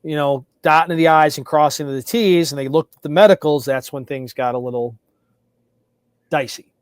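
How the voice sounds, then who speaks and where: A man's voice: on the high side, steady tone, moderate pace, clean tone, no room sound. An American man co-hosting casual sports talk, emphatic and given to repeating his points. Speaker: sports podcast co-host.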